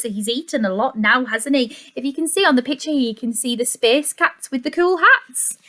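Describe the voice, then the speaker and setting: A woman reading a picture book to young children in an expressive, sing-song way, adding chatty asides and questions for the listeners. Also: sits high.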